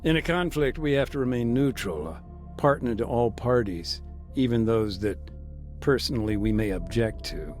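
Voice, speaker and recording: An actor playing a weary, earnest humanitarian worker recounting a war in a measured, hesitant way for a video game. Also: male voice, mid-range voice, expressive, a little mellow, soft background music, natural voice. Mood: measured, matter-of-fact. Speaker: humanitarian aid worker being interviewed. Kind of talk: naturalistic video game dialogue, interview recollection.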